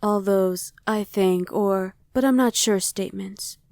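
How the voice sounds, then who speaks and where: Woman acting as a smug, mellow-voiced she-demon. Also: mid-range voice, a little slow, no echo, clean studio sound, natural voice. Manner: haughty, taunting. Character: smug female shapeshifting crossbreed demon, scornful of mortals. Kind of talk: ASMR roleplay acting.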